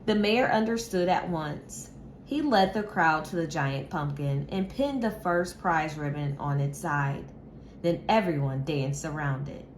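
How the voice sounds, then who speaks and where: A woman reads a picture book to young children at a very slow, deliberate pace. Her voice sits a little low for a woman, and her delivery is expressive and measured. There is clear background noise in the recording. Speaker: teacher reading a picture book aloud. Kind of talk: children's story read-aloud.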